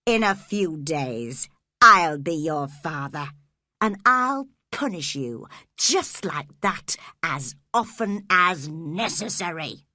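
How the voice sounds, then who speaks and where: Lady doing a cold creepy schemer guy, low and slow, quietly threatening. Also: sing-song, no room sound, clean studio sound, heavily acted. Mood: coldly menacing. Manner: quiet, controlled menace, curt orders. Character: cold, scheming, menacing grown man.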